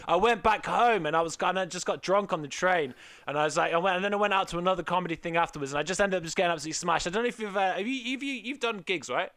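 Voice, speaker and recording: A young man, a stand-up comedian and podcast guest, telling loud, self-mocking stories full of swearing in a casual chat. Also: sits high, racing, few pauses.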